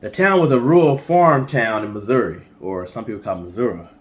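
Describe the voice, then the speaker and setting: A man's voice: medium pitch, a little fast. A man co-hosting a storytime show, telling a story in an informal, colloquial way with casual asides. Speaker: co-host of a storytime guessing-game show. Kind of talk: storytelling from notes with casual banter.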